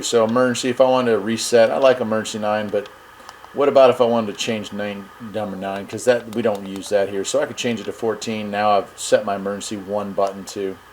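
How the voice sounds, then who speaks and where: Casual low-voiced man with background noise. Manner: informal, folksy, thinking aloud while operating a device. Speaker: host of a radio review channel. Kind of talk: casual product demonstration. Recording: clear steady background noise.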